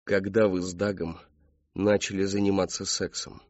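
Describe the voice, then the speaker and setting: A man's voice: low-pitched, level tone, moderate pace, dry recording, muffled recording, natural voice. A man voicing a furious, domineering middle-aged father in an audiobook, barking accusing demands. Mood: enraged, accusing.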